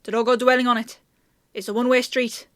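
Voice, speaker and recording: A woman's voice: on the high side, little pitch movement, quick pace, fairly bright tone, no echo, clean studio sound, lightly acted. A woman playing a bossy, teasing female apothecary in a fantasy audio roleplay, with a chatty, sardonic, playfully scolding manner. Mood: serious warning.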